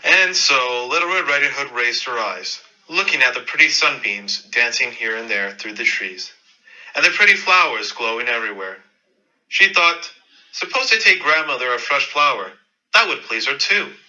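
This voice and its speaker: A man's voice: mid-range voice, expressive, normal speed, bright, forceful tone, highs cut off. A man narrating a scripted fairy-tale radio play in a dramatic storytelling manner.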